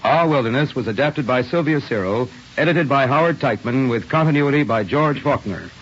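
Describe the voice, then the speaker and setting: A man's voice: mid-range voice, lively intonation, moderate pace. A male announcer reading scripted credits in a 1940s radio style. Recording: dull lo-fi vintage radio broadcast.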